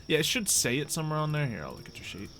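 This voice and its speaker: A man casually narrating and refereeing a tabletop roleplaying game as game master, improvising the story in an animated way. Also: a little high, very fast, a crowd in the background.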